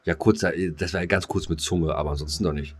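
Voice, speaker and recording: Low-voiced man bantering, crude and joking. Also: fairly even intonation, fast, husky. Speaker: podcast co-host. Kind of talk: casual comedic banter.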